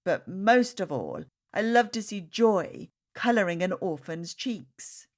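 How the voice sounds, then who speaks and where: A woman reading the words of tender, devout family members in a children's storybook, gently and earnestly. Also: medium pitch, very animated, slow pace.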